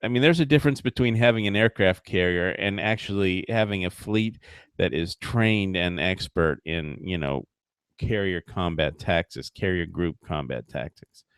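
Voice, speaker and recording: Deep-voiced man ranting casually. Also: normal speed, smooth, no room sound. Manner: profane, mocking, rambling with frequent fillers. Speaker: livestream host. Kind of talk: casual rambling commentary.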